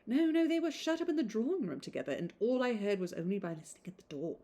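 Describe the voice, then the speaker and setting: A woman's voice: medium pitch, sing-song, fast, dark tone. Woman reading as a gossipy, affected young chatterbox. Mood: coy, affected. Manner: breathless, run-on, exclamatory gossip. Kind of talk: novel read aloud.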